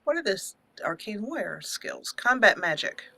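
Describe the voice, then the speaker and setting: A woman's voice: mid-range voice, very animated, moderate pace, gravelly, bright, forceful tone. A woman hosting a casual let's play, chatting playfully with mock indignation and mild joke swearing. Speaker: gaming channel host.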